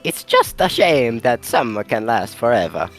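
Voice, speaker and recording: Woman playing a slow, dreamy cafe girl, very low and swooping. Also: sing-song, faint music behind.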